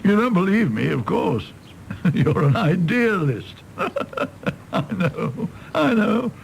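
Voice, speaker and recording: Radio drama role: a world-weary British doctor, wry philosopher, gravelly and widely swooping in pitch. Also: male voice, big pitch swings, slow, slight background noise, exaggerated voice, poor audio. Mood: wry, cynical. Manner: wry, rambling, theatrical musing. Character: cynical, world-weary doctor, a fallen idealist, teasing and philosophical. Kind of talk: British radio play acting.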